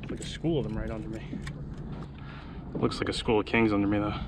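Casual man vlogging through loud wind noise. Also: fairly low pitch, little pitch movement, quick pace. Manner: laid-back, colloquial, often talking to himself. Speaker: kayak fishing vlogger. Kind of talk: casual fishing vlog commentary. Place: outdoors in a kayak on open sea. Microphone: action camera mounted on kayak or body.

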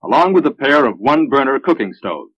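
An American man giving measured, instructional narration in the style of a 1950s military training film, on an old film soundtrack. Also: mid-range voice, sing-song, a bit bright, slightly rough, dry recording, muffled recording.

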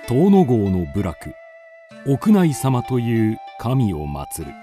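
Slow, mellow man narrating solemnly. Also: mid-range voice, huge pitch swings, soft background music. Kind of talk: classical scripted narration.

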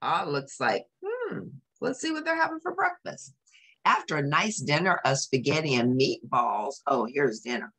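A woman reading a storybook aloud to young children, expressive and explanatory, adding casual comments and exclamations. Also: sits low, moderate pace, slightly rough. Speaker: storybook reader.

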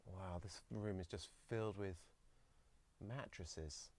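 A man's voice: low voice, takes it slowly, a little mellow, a little hiss or hum. A man in his thirties filming a casual walking tour of an abandoned dormitory, explaining what he finds with curiosity, phone held at arm's length. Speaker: urban explorer vlogger. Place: abandoned dormitory building, indoors. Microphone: phone camera held at arm's length.

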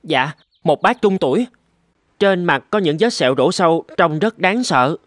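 A man's voice: a little high, animated, measured pace, dry recording, studio quality. An actor voicing a polite, idealistic young medical man in a dubbed cartoon, respectful and earnest in his delivery. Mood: polite, curious. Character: polite, idealistic young man, doctor's apprentice turned physician.

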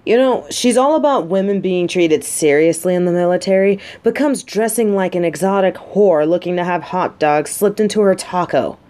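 A woman's voice: lowish voice, moderate pace, hardly any pauses, mellow, natural voice. A woman playing a guarded, sarcastic but secretly vulnerable lieutenant and bodyguard in an intimate audio roleplay. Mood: jealous, irritated. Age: adult. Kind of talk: scripted roleplay audio drama, addressed to listener.

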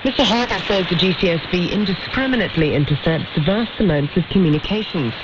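A woman's voice: on the low side, expressive, fast. A woman with a New Zealand accent presenting radio news, reading headlines and interviewing. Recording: noisy, muffled shortwave radio reception. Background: loud radio static.

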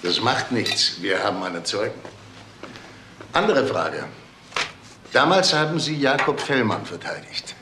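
An actor playing a persistent police detective in a crime radio drama, pointed and measured. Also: male voice, mid-range voice, sing-song, noisy background. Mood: probing, confident.

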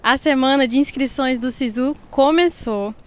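A woman's voice: high voice, moderate pace, clear, resonant tone. A young woman reporting live outdoors at night, upbeat, with a handheld mic.

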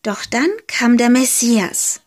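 A woman with a fairly high voice tells a little storybook tale very slowly. She takes her time over each phrase and gently stresses the words that matter. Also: fairly even intonation. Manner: slow, deliberate, gently emphatic. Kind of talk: slow storybook narration.

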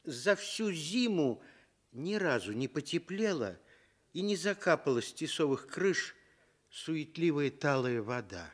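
A man narrating a scripted fairy tale for Soviet radio in an expressive, deliberate manner. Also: a little high. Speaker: storyteller.

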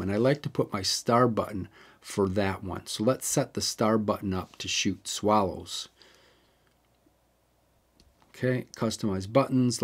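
A man's voice: lowish voice, husky. A man hosting a camera tutorial, talking casually and walking through settings step by step with the odd digression. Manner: chatty, digresses, explains step by step. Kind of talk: casual how-to walkthrough.

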